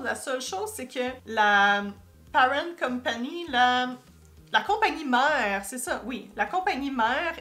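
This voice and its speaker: High-voiced Québécois woman, casual explainer. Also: a bit bright. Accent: Québécois French. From Quebec, Canada. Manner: conversational, self-correcting, addresses viewers directly. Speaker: YouTube host and marketing commentator. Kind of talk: casual explanatory commentary to viewers.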